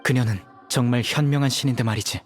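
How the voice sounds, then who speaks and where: Casting: male actor as cool, scornful young lawman in a game, rough-edged husky tone, measured menace. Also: mid-range voice, soft background music, close to an everyday voice. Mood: cool mockery. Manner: measured, mocking, stern. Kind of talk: dramatic game voice acting.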